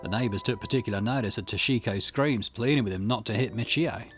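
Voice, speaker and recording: A man reading video game dialogue aloud in an expressive way, with the occasional small stumble. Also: lowish voice, fast talker, faint music behind. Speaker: gaming channel host reading a visual novel aloud.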